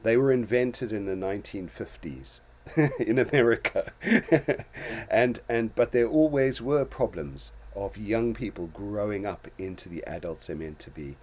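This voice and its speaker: A man's voice: fairly low pitch, unhurried, darkish tone. An older man from Zimbabwe hosting a casual talk show, reflecting on his own life in a storytelling way with many restarts and small chuckles. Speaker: host.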